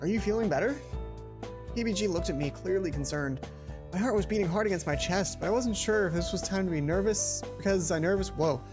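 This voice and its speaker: A man's voice: sits high, fast. Young guy doing a lets play, reads the story out expressively, fixes his slips, game music behind. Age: young adult. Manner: expressive, self-correcting reader. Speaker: let's play host. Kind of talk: reading a visual novel aloud with casual commentary.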